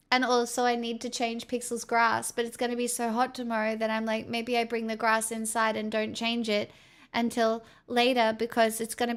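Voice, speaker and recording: Young Australian woman streamer, frank and rambling, a touch high, with a gravelly edge. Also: fairly bright tone. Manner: rambling, frank, talks to chat. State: voice feels strained. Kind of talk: casual live-stream chat.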